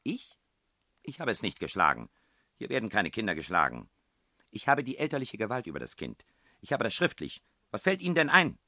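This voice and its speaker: Guy doing an angry tyrant woman in an audiobook, barking orders, pitched way up, rough voice. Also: fairly quick, few pauses, sharp tone, no echo, studio quality. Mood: indignant, furious. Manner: barking, commanding, clipped. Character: domineering furious red-haired woman running a children's home.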